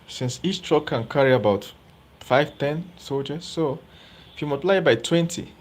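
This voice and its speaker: A man's voice: fairly high pitch, darkish tone. A man giving live political commentary as host, chatty and often checking in with viewers. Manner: conversational, asks viewers rhetorical questions.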